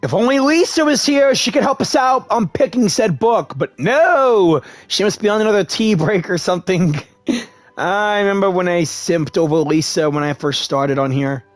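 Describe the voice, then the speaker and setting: A man's voice: high-pitched, animated, moderate pace, dry recording. A man commentating over a game playthrough in a rambling, conversational way. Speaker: gaming video creator. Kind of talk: game playthrough commentary, reading dialogue aloud.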